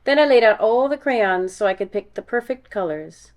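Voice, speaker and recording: A woman reading a children's picture book aloud in a deliberate, animated storytelling style. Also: medium pitch. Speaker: read-aloud storyteller, music teacher.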